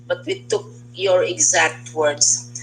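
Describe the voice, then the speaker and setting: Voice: mid-range voice, slow, a little hiss or hum, rough recording. A cabinet official with a Filipino accent gives a semi-formal briefing, with courteous address and hesitant phrasing.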